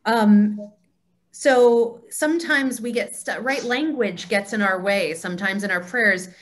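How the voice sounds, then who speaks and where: A woman's voice: medium pitch, measured pace. A woman leading an informal group discussion on a video call, encouraging and affirming as she teaches. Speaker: discussion leader of a temple group.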